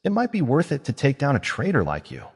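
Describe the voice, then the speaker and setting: A man's voice: mid-range voice, wildly swooping pitch, quick pace, breathy edge, in a large hall, voice pushed up, exaggerated voice. A man voicing a guarded, blunt young male mage who speaks dryly and confrontationally.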